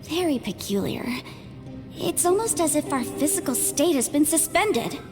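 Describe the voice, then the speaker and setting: A woman's voice: sits high, very animated, moderate pace, in a large hall, loud music behind the voice. A woman playing a poised, inquisitive young woman in a video game, speaking precisely and formally. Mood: intrigued.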